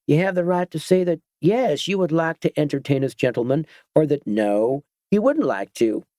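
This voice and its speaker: Guy reading a story doing a biker boss, fake-gentle and folksy, dry close sound. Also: medium pitch, animated, moderate pace, dry recording, close to an everyday voice. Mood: mock-polite. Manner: falsely gentle, folksy ungrammatical speech. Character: menacing mock-polite biker gang leader, man.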